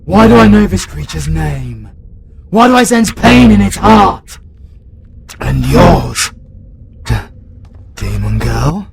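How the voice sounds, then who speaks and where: A British man voicing an anguished young male demon hunter who fights possession, pleading and desperate, sometimes breaking into shouts. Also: fairly high pitch, big pitch swings, takes it slowly, vocal fry, long pauses. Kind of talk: fantasy audio roleplay. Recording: loud ambient noise behind.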